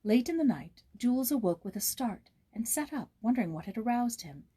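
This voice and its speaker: A woman narrating a storybook aloud in a gentle, measured, expressive way. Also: fairly high pitch, husky, dry recording.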